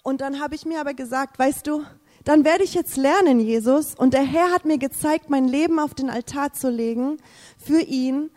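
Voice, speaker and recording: A woman with a high voice gives a fervent faith testimony, repeating herself and sometimes hunting for words. She is not a native speaker of the language. Her voice rings with a long hall reverb. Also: normal speed, darkish tone. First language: English. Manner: fervent, repetitive, searching for words.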